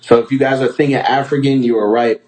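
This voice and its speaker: A man from Trinidad and Tobago with a medium-pitched voice talks as a music producer and host. His delivery is casual and slangy. He gives feedback in an encouraging, informal way, like someone chatting with followers. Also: steady tone, fast, darkish tone, low-quality recording.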